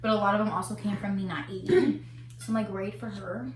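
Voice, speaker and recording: A young woman whose first language is Spanish chats earnestly and bluntly, with the odd swear. Her mid-pitched voice carries a roomy echo from the space around her. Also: fast talker, a little hiss or hum. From United States, family from Colombia. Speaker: co-host. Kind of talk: casual chat over a meal. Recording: echoey room.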